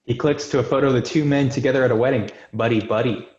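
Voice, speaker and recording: A man narrates screenplay action lines for a table read. His voice is medium in pitch. The sound is echoey and muffled, as on a video call. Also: fairly even intonation, quick pace, a little mellow. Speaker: stage directions reader.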